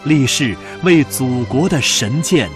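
A man narrates a radio drama over clear background music. His mid-pitched voice is solemn and declamatory, rising and falling expressively. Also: expressive, takes it slowly. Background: prominent music.

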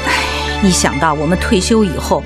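An actress playing an older doctor's worried but supportive wife, chiding yet tender, in a radio drama. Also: female voice, fairly low pitch, expressive, a little fast, lightly acted. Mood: resigned disappointment. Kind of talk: Chinese radio drama acting. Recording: music bed behind the voice.

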